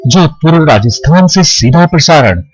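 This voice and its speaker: A man's voice: fairly high pitch, expressive, normal speed, muffled recording. A man reading a scripted TV promo voice-over in a formal, declamatory way. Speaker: TV promo announcer. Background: loud music.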